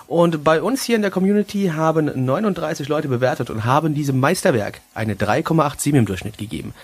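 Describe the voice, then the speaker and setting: Higher-voiced man hosting a casual review chat. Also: breathy edge. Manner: halting, joking and self-mocking. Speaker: host of an anime review show.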